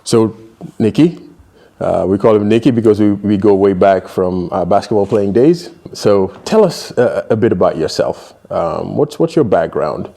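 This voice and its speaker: A low, gravelly man in his thirties hosts a sit-down interview. His tone is mellow and dark, and he asks his questions haltingly with many restarts. He nods along often with short replies. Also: animated. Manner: attentive, frequent backchannel, halting questions. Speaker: interview host. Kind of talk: casual interview. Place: small studio room, seated in armchair.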